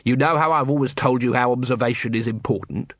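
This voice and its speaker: A man voicing a gruff, wry captain of a city watch, dry and sardonic, in an audiobook. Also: mid-range voice, quick pace, no echo, close to an everyday voice. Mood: questioning.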